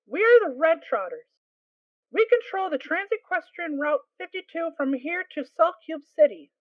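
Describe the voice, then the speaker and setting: A woman's voice: extremely high pitch, animated, moderate pace, no room sound, dull audio. Woman acting out a brash earth pony gang mare. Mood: blunt. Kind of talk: amateur audio drama reading.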